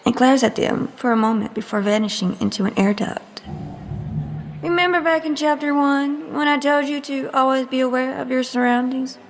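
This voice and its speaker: A woman as a mischievous, higher-voiced storyteller. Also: moderate pace, slight background noise. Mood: ominous. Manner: mischievous, theatrical. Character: teasing, ominous female storyteller game master. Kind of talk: scripted audio drama narration.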